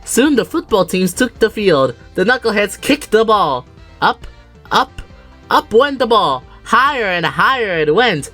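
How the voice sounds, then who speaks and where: Brief: woman narrator, animated storybook reading, wide pitch range. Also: measured pace, soft background music. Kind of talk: storybook narration for a fan dub.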